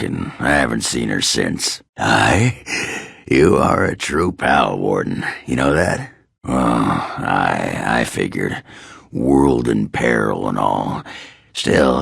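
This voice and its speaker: Surly drunken dwarf warrior, video game dialogue, husky growl, slow blustering delivery, coarse, cantankerous. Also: male voice, rumbling low, fairly bright tone, no echo, clean studio sound, full character voice. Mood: lewd, gruff. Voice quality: gravelly, raspy growl. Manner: coarse, blustering, grumbling with hesitant mumbles. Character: gruff, crude, boozy male dwarf warrior, cantankerous.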